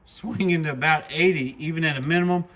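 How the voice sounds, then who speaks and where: Folksy man with a higher-pitched voice. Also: quick pace, noisy background. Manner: folksy, enthusiastic. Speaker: radio shop presenter. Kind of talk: casual product demo.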